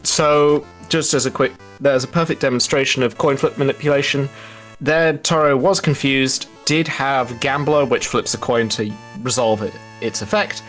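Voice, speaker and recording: A man giving live speedrun commentary, explaining as he goes and pausing mid-thought to rephrase. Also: medium pitch, steady tone, a bit bright, soft background music, rough recording.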